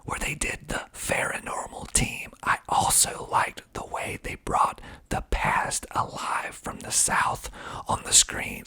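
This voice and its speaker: A man whispering breathily in ASMR style into a close mic, reading aloud slowly and deliberately with dry asides. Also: on the low side, vocal fry, pushed and bright, husky. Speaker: filmmaker and ASMR video host. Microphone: close mic with pop filter.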